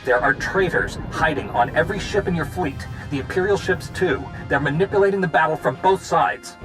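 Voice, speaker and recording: A man's voice: a little high, a little fast, close to an everyday voice, rough recording. A man playing a resolute ally in a sci-fi game, speaking firmly and forthrightly. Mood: urgent. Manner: firm, forthright. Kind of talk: dramatic sci-fi game dialogue. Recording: music behind the voice.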